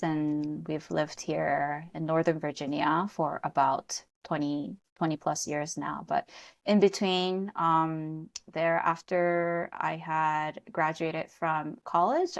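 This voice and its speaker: A young woman, a podcast guest, answering questions in a soft-spoken, thoughtful way with small fillers. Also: deep voice, gravelly. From born in the US, raised in Japan, Korea and the US. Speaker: podcast guest, event planner. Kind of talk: casual interview talk.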